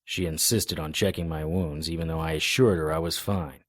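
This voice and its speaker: A man's voice: a bass voice, fairly even intonation, normal speed, dry recording. A man playing a tough, sardonic private investigator in a noir radio drama, both narrating and speaking in scenes. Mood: grim narration. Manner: hard-boiled, sardonic. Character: tough, defiant, wry male private investigator. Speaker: lead actor and narrator.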